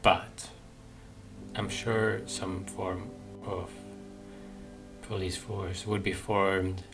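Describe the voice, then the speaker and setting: A man's voice: low-pitched, little pitch movement, very slow. A man giving casual playthrough commentary, thinking aloud in a hesitant, musing way. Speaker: gaming video host. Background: game music.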